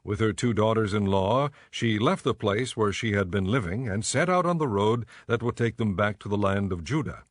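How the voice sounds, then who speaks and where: Casting: male narrator for dramatized scripture, lowish pitch, measured and solemn, dry studio sound. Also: animated, dry recording.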